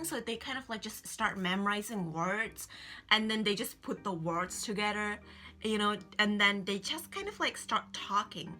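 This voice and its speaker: Bright chatty woman, English. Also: fairly high pitch. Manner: chatty, many fillers like "like" and "you know". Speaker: vlogger. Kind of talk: casual advice vlog. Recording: faint music behind.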